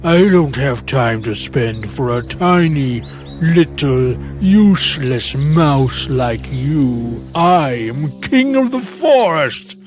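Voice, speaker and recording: An actor voicing a grumpy, haughty lion king in a children's animated story, with an imperious, groaning delivery. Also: mid-range voice, slow, faint music behind. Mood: haughty, dismissive.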